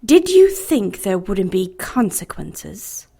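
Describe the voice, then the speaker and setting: A woman's voice: mid-range voice, big pitch swings, slow, creaky, dark tone, husky, exaggerated voice. An actress in a radio drama playing a menacing woman who punishes the disobedient. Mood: cold menace.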